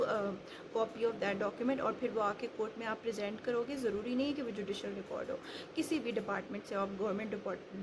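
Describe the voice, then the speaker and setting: Higher-voiced woman lecturing over noise. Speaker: law lecturer. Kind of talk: explanatory lecture mixing Urdu and English. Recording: clearly noisy background.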